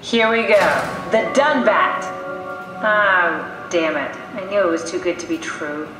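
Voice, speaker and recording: Woman acting a brisk scientist in a cavernous echo. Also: on the low side, over music, close to an everyday voice. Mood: dismayed, resigned. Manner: matter-of-fact, dryly wry. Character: brisk, pragmatic female scientist companion in sci-fi horror game. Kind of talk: video game voice acting. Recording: very echoey metal hall, noisy ambience.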